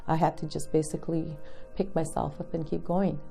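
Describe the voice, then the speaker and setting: A woman's voice: sits low, flat delivery, fairly quick, gravelly, mellow, soft background music. An older woman from Canada, reflecting plainly in an interview. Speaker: residential school survivor. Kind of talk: interview testimony.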